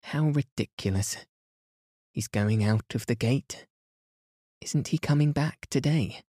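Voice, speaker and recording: Storybook heroine: a composed, quietly authoritative young lady with a dark, mellow tone, voiced by a male narrator. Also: mid-range voice, slow, breathy edge, no echo, clean studio sound, pitched above the performer's own voice. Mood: amused. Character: poised reserved young Englishwoman, grave.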